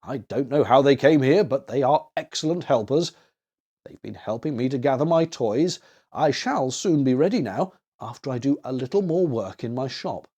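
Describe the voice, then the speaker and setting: A man's voice: medium pitch, animated, normal speed, mellow, breathy edge, muffled recording. A kindly old Santa Claus from a children's storybook, acted by a man. He is hearty and genial, with lots of rise and fall as he talks busily. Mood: genial, busy.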